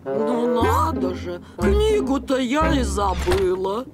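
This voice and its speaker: A woman's voice: fairly high pitch, expressive, takes it slowly, over loud music. A woman voicing a polite, absent-minded older turtle auntie in a children's cartoon, genteel and a little dithery. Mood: courteous.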